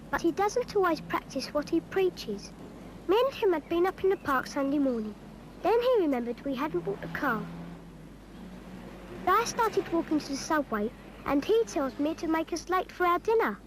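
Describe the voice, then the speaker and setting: A woman's voice: high-pitched, very animated, normal speed, slight background noise, poor audio. A woman voicing a cheeky English schoolchild who chattily narrates a public information film, exasperated by grown-ups. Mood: wry, chatty.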